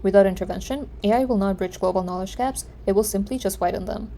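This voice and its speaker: Mellow-voiced woman presenting. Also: medium pitch, quick pace, creaky, a little hiss or hum. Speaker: student presenter. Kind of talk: scripted class presentation.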